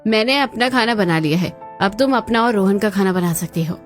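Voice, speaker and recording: A woman's voice: medium pitch, lively intonation, a little fast, natural voice. Bossy young sister-in-law, acted by a woman, music behind. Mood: cold. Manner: haughty, scolding, commanding. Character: bossy, spiteful young elder sister-in-law guarding her kitchen. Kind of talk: Hindi cartoon family drama acting.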